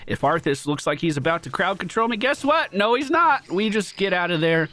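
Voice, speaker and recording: Nasal young man doing lively game commentary. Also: sits high, a little fast, slight background noise. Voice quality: slightly nasal from a cold. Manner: casual, swears, excitable bursts when plays land. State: getting a cold. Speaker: gaming YouTuber. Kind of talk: live gameplay commentary.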